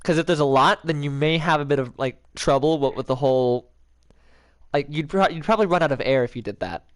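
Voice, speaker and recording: A man's voice: fairly high pitch, fairly quick, no echo. A young man streamer chatting casually in a rambling, jokey way with lots of fillers. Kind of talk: casual chat.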